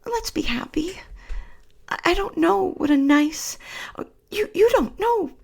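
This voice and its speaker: A woman's voice: very high voice, lively intonation, takes it slowly, gravelly, darkish tone, pitched above the performer's own voice, heavily acted. A woman voicing an anxious, loving young wife who talks in a breathless, pleading way.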